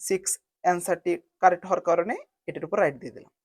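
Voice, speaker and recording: A woman narrating a step-by-step software tutorial in an instructional manner, spelling out each step in repeated phrasing. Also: lowish voice, fairly even intonation, racing, background noise. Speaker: video editing tutorial host.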